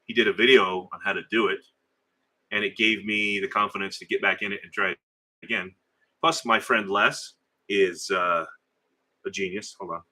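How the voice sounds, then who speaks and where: A middle-aged man with a deep, low-pitched voice that still sounds bright and forceful. He talks in a loose, rambling way, stopping for fillers and restarts as he chats with viewers on a live stream. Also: steady tone, normal speed, pauses often. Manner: rambling, conversational, hesitant with fillers. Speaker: livestream host. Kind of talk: casual live chat reading viewer comments.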